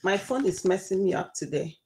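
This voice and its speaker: A woman's voice: mid-range voice, lively intonation, a little fast, darkish tone. A young woman in her twenties with a thick Nigerian accent, chatting on a livestream and apologizing over phone trouble. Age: 20s. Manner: apologetic, flustered by tech trouble. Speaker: guest co-host. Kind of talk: casual livestream chat.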